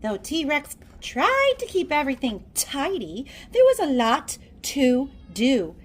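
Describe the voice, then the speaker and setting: A woman narrates a children's story aloud. Her voice is high and swoops widely in pitch, and she takes her time, shaping phrases theatrically. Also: huge pitch swings, slow pace, slight background noise. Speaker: storybook reader.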